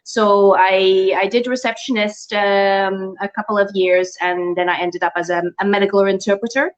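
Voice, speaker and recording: A woman's voice: mid-range voice, fairly even intonation, moderate pace, lo-fi sound. Middle-aged Dutch-raised woman, hesitant. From Netherlands. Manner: hesitant, many restarts and fillers, laughs often. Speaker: guest. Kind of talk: casual interview talk.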